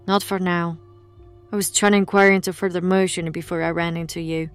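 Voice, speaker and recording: A woman's voice: lowish voice, level tone, moderate pace, clear, resonant tone, faint music behind, natural voice. A woman playing a grave, knowing investigator of the occult who explains things in formal words in an audio drama. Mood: resolute.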